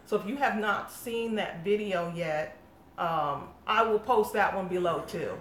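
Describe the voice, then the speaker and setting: A woman's voice: mid-range voice, unhurried. A woman from England who hosts a craft channel, chatting casually in a live question session, laughing often and talking through fillers. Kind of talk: casual live-stream chat. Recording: echoey room, faint background noise.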